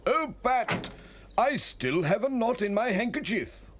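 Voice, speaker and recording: A man's voice: very high-pitched, big pitch swings, measured pace, background noise, voice pushed up, heavily acted. A man voicing a mild, forgetful, dithering country vicar in a children's cartoon. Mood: weary, mild. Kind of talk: children's cartoon character acting.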